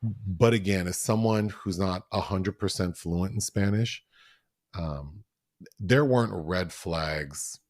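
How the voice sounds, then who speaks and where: A man's voice: deep voice, takes it slowly, a little mellow, no echo, clean studio sound. A man co-hosting a podcast, talking through a film review in a casual chat full of fillers and restarts. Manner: chatty, many fillers and restarts. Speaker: podcast co-host.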